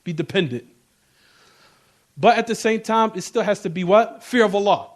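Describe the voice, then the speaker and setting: A man's voice: sits high, moderate pace. A man giving an informal Islamic lecture, emphatic and repetitive, often checking in with rhetorical questions. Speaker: religious teacher giving a class.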